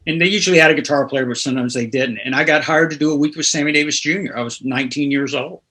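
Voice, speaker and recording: A man's voice: fairly high pitch, racing, pushed and bright. A man in his sixties from North Carolina, a guest telling rambling anecdotes in a casual interview. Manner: rambling, anecdotal, laughs through stories. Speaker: guest guitarist.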